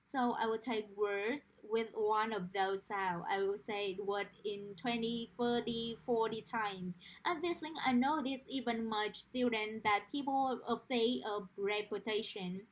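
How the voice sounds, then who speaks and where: A woman's voice: a little high, a little slow, hardly any pauses, poor audio. Romanian-accented woman teaching pronunciation. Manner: earnest, explanatory, uses everyday analogies. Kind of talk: direct-to-camera teaching monologue.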